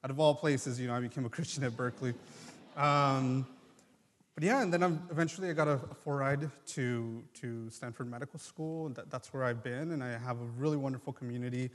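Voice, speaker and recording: A man in his twenties from Mexico and California, a native Triqui speaker, giving an earnest, halting personal testimony. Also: medium pitch. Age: 20s. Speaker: guest speaker, medical student. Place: large church room, live address. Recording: long hall reverb.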